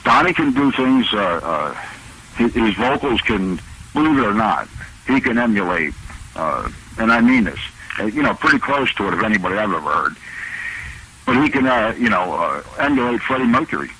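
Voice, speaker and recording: A man's voice: on the low side, very animated, moderate pace, bright, forceful tone, a little hiss or hum, poor audio. A man, a drummer, talking casually as a guest on a radio interview, rambling and repeating words with lots of fillers.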